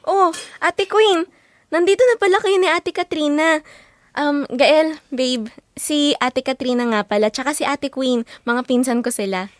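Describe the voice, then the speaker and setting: High-voiced sweet young girlfriend. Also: a little slow, dry recording, clean studio sound. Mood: cheerful. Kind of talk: audio drama dialogue.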